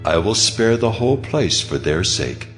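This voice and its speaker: A man playing God in a dramatized audio Bible, speaking in a grave, measured, authoritative voice. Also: fairly low pitch, sing-song, a bit bright, faint music behind. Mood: grave, resolute. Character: God, solemn, commanding divine male voice. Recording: musical score behind the voice.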